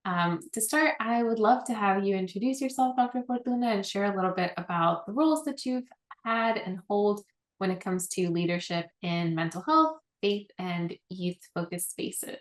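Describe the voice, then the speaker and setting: Woman interviewer, measured and polite, mid-range voice, echoey room. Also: medium pitch, lively intonation. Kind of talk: hosting an interview.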